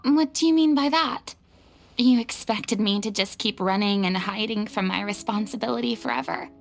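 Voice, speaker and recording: An actress playing a lonely, remorseful young woman in a video game, speaking in an earnest, wistful, confessional way with breathy phrase ends. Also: medium pitch, moderate pace, natural voice. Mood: rueful, uneasy. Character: remorseful, lonely young woman, former stage idol of a god. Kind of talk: English video game voice acting, dramatic monologue. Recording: music bed behind the voice. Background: music.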